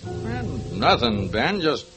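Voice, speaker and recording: Weary, placating sergeant, lively pitch, music under old radio drama. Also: male voice, slow pace. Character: weary male police sergeant, patient partner. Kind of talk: 1950s radio drama acting.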